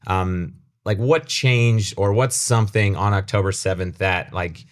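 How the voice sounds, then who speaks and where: A man's voice: lowish voice, measured pace. A man moderating a casual panel talk, joking and working loosely from his notes.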